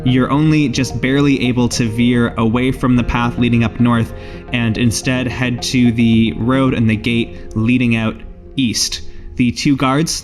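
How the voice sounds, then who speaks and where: A man running and narrating a tabletop role-playing game as game master, teasing and playful. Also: mid-range voice. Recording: music behind the voice. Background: background music.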